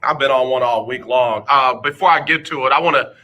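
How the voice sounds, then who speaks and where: Animated radio host, bright voice. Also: male voice, mid-range voice, brisk. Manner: animated, teasing, rhetorical questions to listeners. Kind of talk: casual sports talk radio monologue. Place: radio studio.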